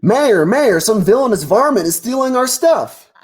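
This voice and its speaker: A man's voice: extremely high pitch, sing-song, normal speed, slightly rough, pitched above the performer's own voice, exaggerated voice. A man voicing a frantic, alarmed deer who exclaims his way through a children's picture book. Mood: frantic, urgent. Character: frantic male deer, victim of theft.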